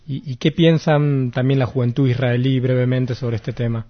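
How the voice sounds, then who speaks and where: A man's voice: mid-range voice, little pitch movement, moderate pace. A man acting as interviewer, putting questions in a conversational way. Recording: dull, lo-fi muffled sound.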